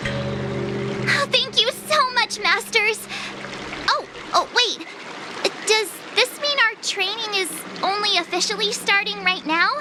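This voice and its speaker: A woman voicing an excitable, naive, boastful teenage girl learning the sword in an anime-style game. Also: very high-pitched, takes it slowly, over loud music. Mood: excited, grateful.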